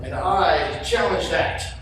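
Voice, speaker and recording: Guy doing sneery evil prince, really high and slow, cartoon radio play. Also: a bit bright. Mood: scornful. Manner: haughty, sneering delivery. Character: arrogant, scheming villain prince, young adult male. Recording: echoey live hall, far off, music.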